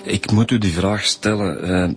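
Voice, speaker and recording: An actor playing a blunt, coarse-talking Flemish police inspector in a radio drama. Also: male voice, lowish voice, fairly even intonation, unhurried, lightly acted.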